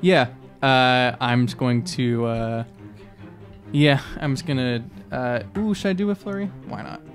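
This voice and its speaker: A man's voice: medium pitch, a little slow. A man playing a tabletop game, talking casually with the other players. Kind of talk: casual actual-play table talk. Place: indoor room, around a gaming table. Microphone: close podcast microphone on stand. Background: faint music.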